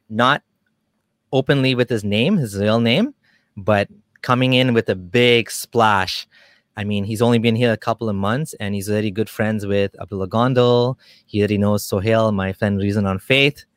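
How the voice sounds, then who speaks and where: A man's voice: mid-range voice, fairly bright tone, no room sound, clean studio sound. A man hosting a casual livestream interview, chatty and upbeat with plenty of fillers. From Kenya. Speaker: podcast host.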